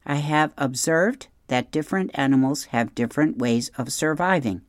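A reader voicing a polite, literal-minded female robot in a storybook, measured, earnest and formal. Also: medium pitch, no echo, clean studio sound, lightly acted. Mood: calm, polite.

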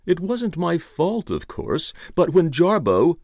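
A man voicing a flustered, embarrassed gentleman who babbles hesitantly in an audiobook. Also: mid-range voice, big pitch swings, a little slow, no echo, pitched above the performer's own voice. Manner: stammering, hesitant, over-explaining. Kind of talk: audiobook character voice.

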